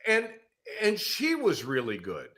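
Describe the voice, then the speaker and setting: A man's voice: medium pitch, huge pitch swings, a little slow, bright, forceful tone. A man chatting casually as a podcast panelist, blunt and emphatic, with a salty way of talking. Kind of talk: casual chat.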